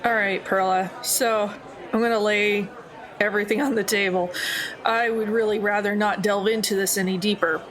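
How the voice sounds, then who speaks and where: A woman with a medium-pitched voice plays in an improvised tabletop roleplaying session, talking casually with a wry, joking manner. Faint voices of other people sit behind her. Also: fairly even intonation, normal speed, fairly bright tone, some chatter behind, poor audio. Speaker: player in tabletop roleplaying game.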